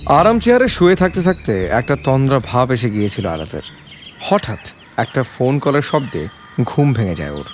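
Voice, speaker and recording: A man's voice: medium pitch, big pitch swings, normal speed, soft background music. A man giving a dramatic scripted narration of an audio story.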